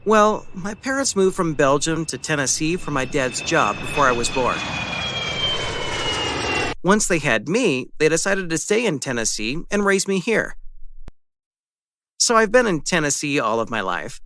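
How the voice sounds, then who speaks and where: A man's voice: a little high, big pitch swings, measured pace, long pauses, background noise. A man playing a friendly young male architecture student in a scripted practice conversation, easygoing and asking friendly questions. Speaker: student in a dialogue.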